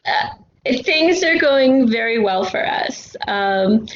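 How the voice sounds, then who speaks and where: A woman's voice: on the high side, animated, takes it slowly. Echoey woman in a casual interview. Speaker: guest writer and podcast creator. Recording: echoey room, dull lo-fi, faint noise.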